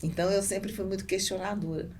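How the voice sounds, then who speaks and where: A woman's voice: mid-range voice, steady tone, fast talker, darkish tone, slight background noise. A Brazilian woman business owner answering casually as a podcast guest, often repeating and rephrasing herself.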